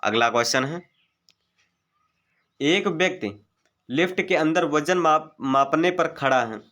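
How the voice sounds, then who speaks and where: Bright-voiced man reading quiz questions. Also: on the high side. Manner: methodical, formulaic delivery. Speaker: exam-prep physics teacher. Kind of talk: reading out multiple-choice quiz questions and answers.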